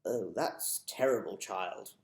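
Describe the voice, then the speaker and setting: A man voicing a kind, polite, old-fashioned elderly gentleman tramp in a storybook reading. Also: lowish voice, slow pace, creaky, roomy sound, pitched below the performer's own voice. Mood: sympathetic. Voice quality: genteel, proper old-fashioned manner.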